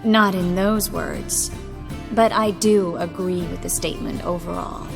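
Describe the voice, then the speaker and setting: An actress playing a world-weary young sorceress in a video game, speaking in a dry, measured, articulate way. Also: female voice, on the low side, fairly even intonation, gravelly, in a large hall, music in the background. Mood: guarded.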